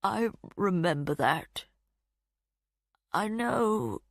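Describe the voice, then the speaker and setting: Forgetful old man, defensive and snappy, lively pitch , as a woman narrator puts him on. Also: very slow, vocal fry, no echo, clean studio sound, heavily acted. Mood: confused.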